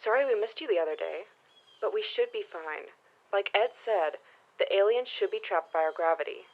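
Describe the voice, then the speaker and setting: Actress as earnest, practical wife, muffled sound. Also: female voice, mid-range voice, animated, moderate pace, natural voice. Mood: polite, reassuring. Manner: questioning, earnest. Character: worried, practical wife seeking reassurance. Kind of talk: modern audio drama acting.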